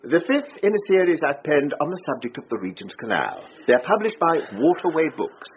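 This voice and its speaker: An actor playing a prickly, pedantic, indignant amateur historian in a radio drama. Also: male voice, fairly high pitch, huge pitch swings, brisk. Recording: muffled radio drama, faint noise.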